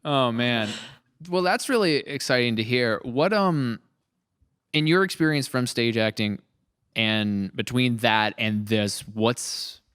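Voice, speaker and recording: Man, podcast host, smooth resonant voice, dry close sound, chatty and upbeat. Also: medium pitch, takes it slowly, no room sound. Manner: chatty, upbeat, animated quoting. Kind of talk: casual podcast chat.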